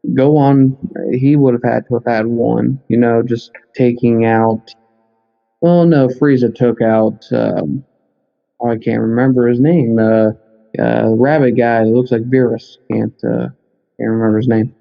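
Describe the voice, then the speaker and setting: A man's voice: mid-range voice, steady tone, vocal fry. Man on a dull lo-fi computer mic. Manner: halting, thinking aloud as they go. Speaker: podcast guest, anime fan expert. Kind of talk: casual podcast discussion. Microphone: computer mic, remote recording. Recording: dull, lo-fi computer call audio.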